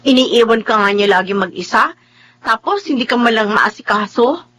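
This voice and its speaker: High-pitched bossy best friend. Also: female voice, animated, normal speed, fairly bright tone. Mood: concerned, nagging. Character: bossy, teasing, protective female best friend. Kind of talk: Filipino radio drama acting.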